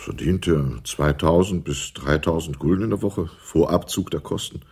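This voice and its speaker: Smooth, evasive accountant for radio crime play: low husky voice, deliberate hedging delivery. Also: male voice, a little mellow, heavily acted. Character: evasive, smooth male accountant explaining dodgy finances. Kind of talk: 1980s German radio crime drama dialogue.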